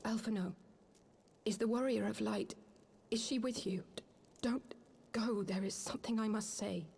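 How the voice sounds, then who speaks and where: A woman's voice: medium pitch, fairly even intonation, normal speed, creaky, a little mellow, a little hiss or hum, lightly acted. An actress as a weakened, urgent young noblewoman. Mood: weak, urgent. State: weak, breathy, straining to speak. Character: wounded young noblewoman, weak but urgent. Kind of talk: video game voice acting, dramatic dialogue.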